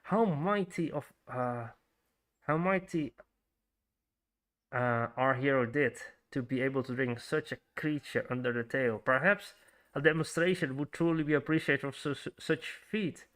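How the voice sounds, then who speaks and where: A Frisian-accented man reading as a slow female storyteller. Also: mid-range voice, a bit bright, lightly acted. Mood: sly, teasing. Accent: Frisian Dutch. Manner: halting read-aloud with stumbles. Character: slow-spoken female storyteller in a medieval game.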